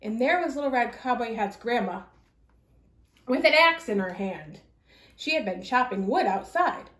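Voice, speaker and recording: Expressive woman storyteller in an echoey room. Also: fairly high pitch, normal speed. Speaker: storyteller reading aloud. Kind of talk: expressive children's story reading.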